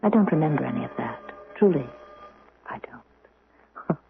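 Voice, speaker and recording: An actress playing a gentle, humble young model in a radio drama, hesitant and wistful. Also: female voice, deep voice, expressive, soft background music, full character voice. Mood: weary, wistful. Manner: hesitant, apologetic, breathy pauses. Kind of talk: radio drama acting and first-person narration. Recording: noisy, narrow-band old radio recording.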